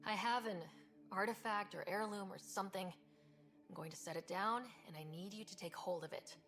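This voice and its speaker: An actress playing a young human space explorer in a video game, direct and earnest with a wry streak. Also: female voice, medium pitch, fairly even intonation, fairly quick, a bit bright, a little hiss or hum, lightly acted. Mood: wry, exasperated. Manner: direct, plain-spoken, persuasive. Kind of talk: video game cutscene acting.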